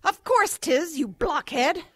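A woman's voice: very high voice, animated, slow, no room sound, clean studio sound, heavily acted. A woman playing a brusque, scornful wartime mother in a radio drama. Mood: scornful scolding.

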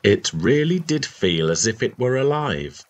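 A man narrating a short story in a measured, expressive literary reading style. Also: mid-range voice.

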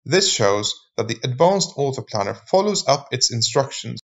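A man reading scripted explainer narration in a measured, formal manner. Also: medium pitch, big pitch swings, muffled recording.

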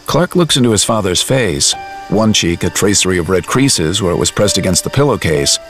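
A man narrating an audio drama in a measured, reflective storytelling style with dramatic pauses. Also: low voice, big pitch swings, fairly bright tone, soft background music.

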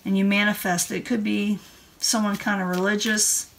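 A woman's voice: medium pitch, little pitch movement, moderate pace, vocal fry, fairly bright tone. Woman reading tarot, noisy recording. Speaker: tarot reader. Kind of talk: casual monthly tarot card reading. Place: indoor room at a table.

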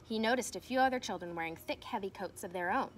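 A woman's voice: medium pitch, fast talker. Young woman reading a storybook aloud, outdoor noise. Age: young adult. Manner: expressive storytelling delivery with emphatic swells. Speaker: teacher reading aloud to pupils. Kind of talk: children's storybook read-aloud. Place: outdoors in a fenced backyard. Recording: noticeable outdoor ambient noise.

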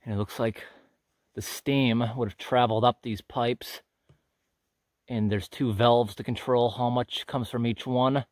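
Um homem gravando com câmera de mão enquanto caminha por uma trilha na floresta, comentando de forma descontraída e observadora o que encontra. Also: male voice, mid-range voice, unhurried, no room sound, clean studio sound. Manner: relaxed, observant, thinking aloud. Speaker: hiker filming a walking tour. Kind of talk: casual walk-and-talk narration. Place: outdoors on a forest trail. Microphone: handheld camera.

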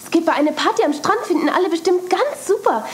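A woman's voice: extremely high pitch, animated, a little fast, slight background noise. An actress playing an upbeat, eager young woman planning a party, in an audio drama. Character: cheerful, enthusiastic young woman hostess. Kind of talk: 1980s radio play acting.

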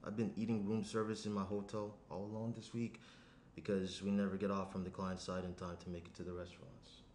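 Young guy acting anxious sweet boyfriend in a drama read, lowish voice, bit echoey. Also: flat delivery, fairly quick, a little mellow, close to an everyday voice. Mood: pleading, hopeful. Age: young adult. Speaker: actor in a screenplay table read. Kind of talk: naturalistic dramatic script reading. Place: small indoor room around a table. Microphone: shared tabletop microphone. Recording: roomy echo, noticeable room noise.

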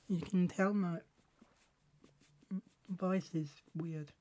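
Slow, hoarse woman with a cold. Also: lowish voice, fairly even intonation, mellow. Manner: slow, halting, muttering asides to herself. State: hoarse from a cold, clearing throat often. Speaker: game streamer. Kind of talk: casual live game commentary.

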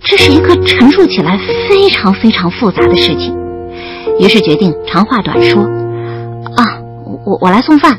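A woman playing a bashful young student in an audio drama, expressive and easily flustered. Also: mid-range voice, moderate pace, over loud music, close to an everyday voice. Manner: expressive, stammering when flustered. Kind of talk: audio drama acting with first-person narration.

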